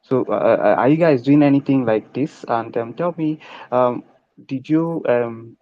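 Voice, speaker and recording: A man's voice: mid-range voice, a little slow, no room sound, highs cut off. A man hosting an online question session, asking long questions broken up by many "um" fillers. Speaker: AMA host. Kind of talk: online crypto AMA questioning.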